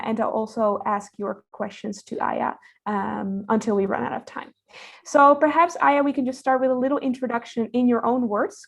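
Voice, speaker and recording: A woman hosting an online career event and interviewing a guest, speaking in a measured way with many hesitations and fillers. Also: on the high side, gravelly.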